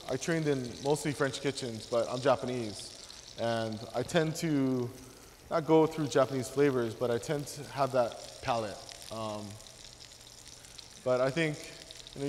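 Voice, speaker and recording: A man's voice: mid-range voice, in a large hall, background noise. A man in his thirties from Hawaii, a guest chef demonstrating a dish to a class, talking casually with many fillers and restarts. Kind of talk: cooking demonstration talk. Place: large teaching kitchen.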